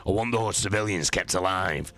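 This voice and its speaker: A man's voice: normal speed, a bit bright. A man voicing a grim, menacing armored battle captain who growls his lines. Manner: low growling, flinty.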